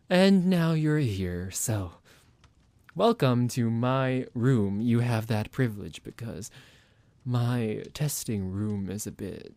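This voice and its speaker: A man's voice: medium pitch, slow, darkish tone, no room sound. A man playing a psychotic vampire doctor in an ASMR roleplay, condescending and playful toward a captive, with mock-polite, hesitant, musing delivery. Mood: casual, sinister.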